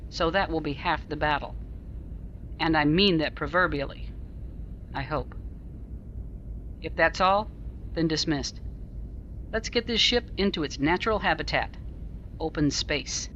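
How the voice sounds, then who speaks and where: A woman playing a commanding starship captain in a fan audio drama, speaking with dry, crisp authority. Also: deep voice, normal speed, long pauses, a bit bright, muffled recording, a little hiss or hum.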